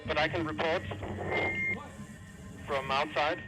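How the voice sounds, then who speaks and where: A man giving an improvised radio report from outdoors, halting as he searches for words. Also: a little high, steady tone, over loud music. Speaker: field reporter. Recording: noisy outdoor field ambience. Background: outdoor background noise.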